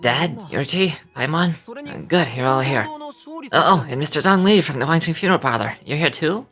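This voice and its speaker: A young guard and dancer in a video game, energetic and sharp. He talks in a casual, direct way with music clearly underneath. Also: on the high side, normal speed. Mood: surprised.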